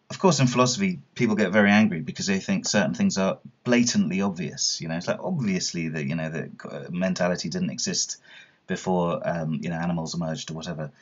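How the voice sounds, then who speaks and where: A man's voice: low-pitched, dull audio. A man, explaining philosophical views in a reflective, conversational interview manner. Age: 30s.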